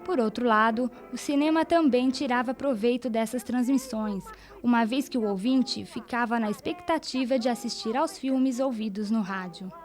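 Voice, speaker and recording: A woman's voice: fairly high pitch, moderate pace, few pauses, faint music behind. A woman with a Brazilian accent reading scripted documentary narration.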